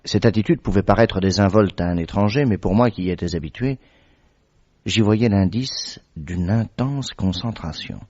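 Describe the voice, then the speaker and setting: A man narrating a radio drama in a measured, steady way as the detective's companion. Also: fairly low pitch, natural voice. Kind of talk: scripted narration. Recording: dull vintage radio recording.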